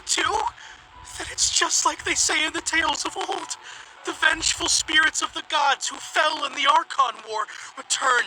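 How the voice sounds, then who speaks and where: A frightened youngster in a video game, with a high voice. The delivery is nervous and melodramatic, full of pleading, and loud music runs underneath. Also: female voice, poor audio. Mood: dread, melodramatic. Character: frightened, melodramatic young person fearing a curse.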